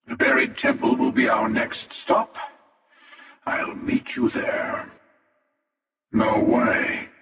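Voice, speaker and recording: An actor playing a cynical, menacing, world-weary interdimensional hunter in a video game, with a gravelly, sardonic delivery. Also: male voice, extremely high pitch, a bit bright, heavily acted. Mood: menacing, confident. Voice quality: gravelly, creaky. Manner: slow, sardonic, taunting. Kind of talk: video game character voice lines. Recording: cavernous reverb, distant-sounding voice.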